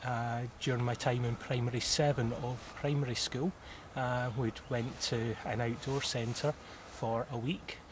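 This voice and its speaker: A Scottish man in his thirties from southwest Scotland, answering interview questions about mountaineering in an unhurried way, with many "uh" pauses. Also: medium pitch, steady tone. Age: 30s. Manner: unhurried, with frequent "uh" pauses and occasional laughs. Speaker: mountaineer guest. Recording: clearly audible background noise.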